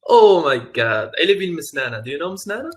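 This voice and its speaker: A man's voice: fairly high pitch, very animated, fast. A young man from Morocco chatting casually on a video call, friendly and laughing often. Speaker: English learner.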